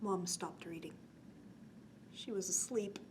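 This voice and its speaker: A woman hosting a children's storytime, reading a bedtime story aloud in an expressive manner and talking gently to young listeners. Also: lowish voice, slow pace. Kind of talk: read-aloud bedtime story with chatty asides. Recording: clearly noisy background.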